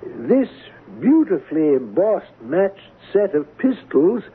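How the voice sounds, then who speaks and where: A learned, courteous art appraiser in a radio play. His voice is very husky and rises and falls freely, with slow, formal, exacting phrasing. The muffled sound is that of an old radio broadcast. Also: sits high, sing-song, exaggerated voice. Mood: reverent, lofty. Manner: formal, deliberate, measured. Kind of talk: radio drama acting.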